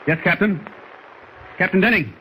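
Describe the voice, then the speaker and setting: An actor playing a dutiful navy radio operator in a 1940s radio serial, speaking in formal procedure. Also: male voice, high voice, moderate pace, pushed and bright. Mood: concerned. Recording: faintly noisy vintage radio recording.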